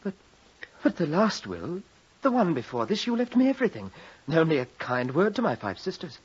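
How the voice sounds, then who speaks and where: An actor playing a scheming young son of a rich squire, obsequious and formal, in an old-time radio drama style. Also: male voice, fairly high pitch, wildly swooping pitch, moderate pace, husky, full character voice. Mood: pleading, defensive. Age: young adult. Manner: obsequious, formal archaic phrasing. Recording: dull, lo-fi old radio recording.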